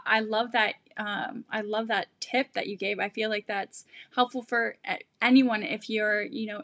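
A woman's voice: on the high side, a bit bright, dry recording, studio quality. A young woman from Canada hosting a video-call interview, talking in a hesitant, searching way. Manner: hesitant, lots of fillers and restarts. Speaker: host. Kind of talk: casual livestream interview. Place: room at home.

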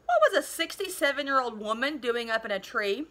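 Incredulous policeman played by a woman reader, storybook role; high, bright, questioning delivery. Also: sing-song, a little fast. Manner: questioning, disbelieving. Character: sceptical, incredulous male police officer questioning a teenager.

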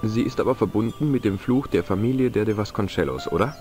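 A man's voice: lowish voice, fast, close to an everyday voice. A man playing an easygoing, curious young amateur investigator in an adventure game, conversational and slightly wry. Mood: curious, probing. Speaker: game protagonist and narrator. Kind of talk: adventure game voice acting, German dub. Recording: game music under the voice.

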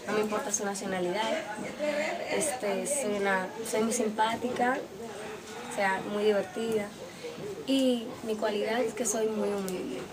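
A woman's voice: mid-range voice, steady tone, unhurried. Dominican woman with crowd behind. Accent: Dominican Spanish. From Dominican Republic. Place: outdoor covered patio.

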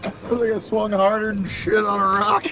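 A man's voice: sits high, completely flat delivery, moderate pace, background noise. A man chatting casually while fishing outdoors on a boat, picked up by a camera mic nearby. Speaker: recreational angler. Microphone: camera mic a few feet away.

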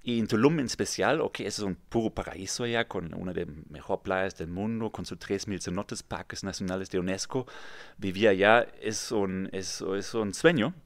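German guy on a podcast, lowish voice, foreign accent, halting phrasing, dry studio mic. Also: no room sound. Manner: halting, non-native phrasing. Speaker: podcast guest, real estate developer. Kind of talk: conversational storytelling interview. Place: small padded podcast studio, indoors. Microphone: studio microphone with pop filter, headphones.

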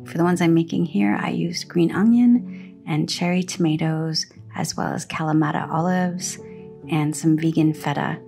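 A woman's voice: sits low, fairly even intonation, normal speed, gravelly, mellow, faint music behind. A middle-aged woman chatting casually as a cooking vlogger, friendly and prone to wandering off topic, talking to camera outdoors by a brick wall. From Canada.

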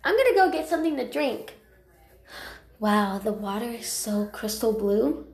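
A woman's voice: on the high side, very animated, measured pace, darkish tone. A woman voicing a shy, eager, childlike young kitten in an amateur animal drama, hesitant and stammering. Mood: wondering. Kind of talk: amateur character voice acting. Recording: roomy, echoing home recording.

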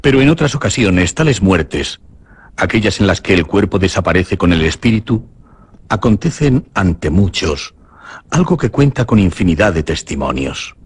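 Very husky, grave sage. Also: male voice, sits low, very animated, moderate pace, exaggerated voice. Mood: grave, solemn. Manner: solemn, declamatory. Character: grave male sage reciting teachings about death. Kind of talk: Spanish radio drama recitation.

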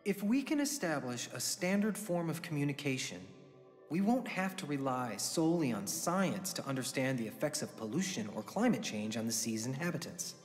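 An earnest middle-aged father and scientist acted by a man speaks in a measured way with lively intonation. His voice carries long reverb, with music behind it, as in an audio drama. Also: mid-range voice, close to an everyday voice. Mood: earnest, visionary. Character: marine biologist father, earnest and visionary. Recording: reverberant hall sound with music.

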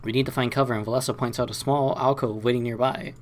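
A man's voice: medium pitch, fairly even intonation, fast, slight background noise. A man hosting a let's play, mixing casual game commentary with reading story text aloud.

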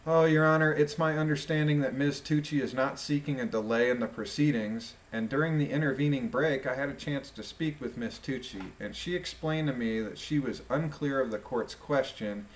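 A man's voice: medium pitch, normal speed, few pauses, poor audio. Man reading aloud with musing commentary. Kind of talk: read-aloud with informal commentary.

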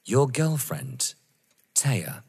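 An actor playing a wounded, vengeful and menacing man in an audio drama, speaking with halting dramatic pauses. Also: medium pitch, expressive, vocal fry, darkish tone, slightly rough, heavily acted. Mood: taunting, unhinged. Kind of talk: full-cast audio drama acting of a stage play. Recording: long hall reverb.

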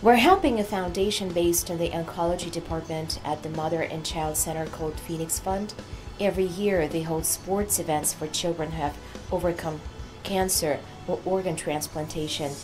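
A woman with a slightly low voice explains things for a feature report. Faint music plays behind her. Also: normal speed.

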